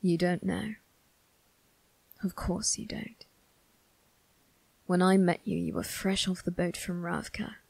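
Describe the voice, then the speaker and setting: Woman acting male vampire crime boss, ASMR roleplay, breathy half-whisper, creaky, close dry sound, cold, condescending, menacing. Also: on the low side, steady tone, a little mellow, no room sound, full character voice. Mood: condescending menace. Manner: slow, measured, condescending. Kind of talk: ASMR character roleplay addressed to the listener.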